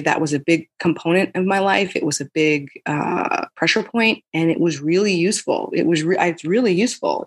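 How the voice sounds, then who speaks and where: Low-voiced woman guest, thoughtful. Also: husky. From United States. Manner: thoughtful, many restarts and "you know". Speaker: podcast guest. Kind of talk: reflective interview answers.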